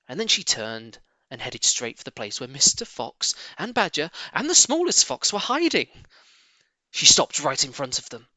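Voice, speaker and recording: Male narrator, animated children's storybook reading, very bright forceful voice, higher pitch, dry close sound. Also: no echo. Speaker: audiobook reader.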